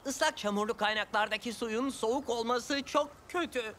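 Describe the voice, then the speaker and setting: An actor dubbing a fussy, anxious purple cat sidekick in a cartoon, speaking in a worried, doubtful way. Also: male voice, very high voice, moderate pace, very noisy. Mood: chatty.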